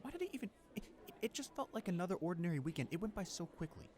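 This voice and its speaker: A young man playing a friendly, easily flustered high school senior in a roleplay audio drama, with an awkward, rambling, hesitant way of talking. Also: very animated, racing, a little mellow. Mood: grumbling, resigned. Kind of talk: roleplay audio drama, casual conversational acting.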